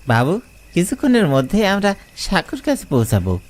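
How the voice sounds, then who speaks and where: A man's voice: high-pitched, huge pitch swings, moderate pace. A man voicing a superstitious village rickshaw puller who speaks respectfully and pleads urgently. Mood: urgent warning.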